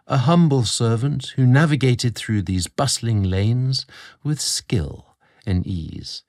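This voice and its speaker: A British man narrating a scripted story, theatrical and deliberate in his phrasing, drawing out words as a podcast host. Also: medium pitch, darkish tone, no echo, studio quality.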